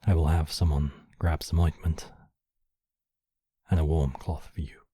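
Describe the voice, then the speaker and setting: A man voicing a courtly, possessive demon king, menacing yet tenderly protective, in slow, intimate ASMR roleplay with formal, measured phrasing. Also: extremely low pitch, fairly even intonation, mellow, slightly rough, no echo, clean studio sound, heavily acted. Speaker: voice actor in a roleplay. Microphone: close microphone, dry.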